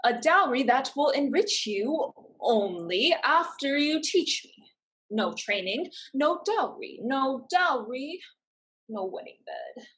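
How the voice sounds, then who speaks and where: An actress plays a proud young woman with a high voice and slow, deliberate lines. She is firm and earnest, a determined stage heroine. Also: sing-song, fairly bright tone, full character voice, low-quality recording. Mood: firm, bargaining. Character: proud, determined young woman pirate-in-training, devoted to family. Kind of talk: theatrical staged reading.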